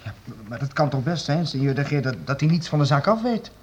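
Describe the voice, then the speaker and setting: An actor playing a mild, unsure young baron in a period radio play, hesitant, deferential and stammering. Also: male voice, on the high side, animated, fairly quick, close to an everyday voice. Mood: uncertain. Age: young adult. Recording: dull, noisy old radio recording.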